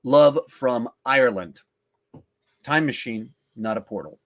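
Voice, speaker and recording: A man's voice: mid-range voice, unhurried, fairly bright tone. Conversational man hosting a casual podcast. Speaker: podcast host. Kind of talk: casual film discussion.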